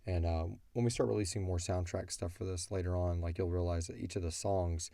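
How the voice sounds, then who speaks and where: Deep, mellow middle-aged man, casual. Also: fairly even intonation, brisk, no room sound. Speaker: audio drama creator and show host. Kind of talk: casual Q&A chat about a show.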